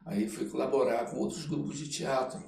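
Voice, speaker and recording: An older man, reminiscing casually as an interview guest and often pausing to search for words. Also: mid-range voice, steady tone, gravelly, darkish tone. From Belém, Pará, Brazil. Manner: halting, searching for words, frequent check-in tags. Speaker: podcast guest, theatre artist. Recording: roomy echo, off-mic, faint background noise.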